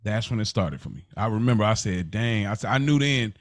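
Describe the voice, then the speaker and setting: An American man hosting a casual podcast discussion, telling stories in an animated way, acting out quotes and repeating phrases for emphasis. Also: fairly low pitch, fairly quick, no echo, clean studio sound. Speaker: podcast host.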